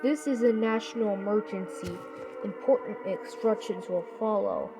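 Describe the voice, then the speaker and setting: A woman with a medium-pitched voice reads a scripted emergency announcement as a broadcast alert voice. Loud music runs behind her. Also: normal speed.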